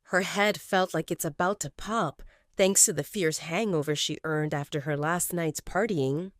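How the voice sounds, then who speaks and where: A woman narrating a romance audio story in an expressive, scripted style, close on a studio mic. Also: sits low, a little slow, no room sound. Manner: expressive, swinging in tone.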